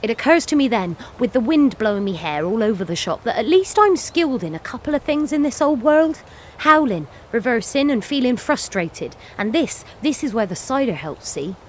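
A feisty wild wolf woman for an audio play, speaks in a fairly high voice. She is an earthy, bitter cleaner who rambles defiantly and swears freely. There is some background noise behind her. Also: big pitch swings, normal speed. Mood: wry, confiding. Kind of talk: dramatic monologue, audio play.

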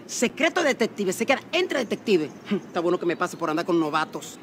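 A woman's voice: lowish voice, big pitch swings, fairly quick, a little hiss or hum. A woman playing a self-important, zealous amateur investigator, pompous, with a Dominican accent. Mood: conspiratorial, boastful. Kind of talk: comedy film acting. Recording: music behind the dialogue.

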